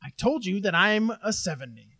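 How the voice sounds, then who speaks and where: A man's voice: sits high, very animated, fairly quick, bright, forceful tone, exaggerated voice. A man playing a big, sarcastic sitcom teammate in an amateur table read. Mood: annoyed.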